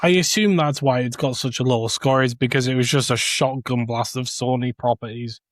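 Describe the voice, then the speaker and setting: A man co-hosting a podcast, giving casual review opinions in a measured, hesitant delivery. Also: mid-range voice, fairly even intonation, no room sound. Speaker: podcast co-host.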